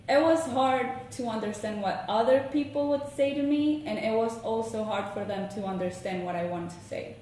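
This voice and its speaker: A woman in her twenties with a Venezuelan Spanish accent, a graduate student answering an interview question in an earnest, measured way. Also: on the high side, darkish tone, a little hiss or hum. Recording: echoey room, voice from a distance.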